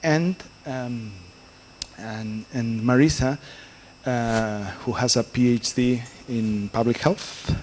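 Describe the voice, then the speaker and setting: A man's voice: medium pitch, a little mellow, slight background noise. A man chairing a conference session, introducing the speakers with many hesitations and fillers. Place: conference hall.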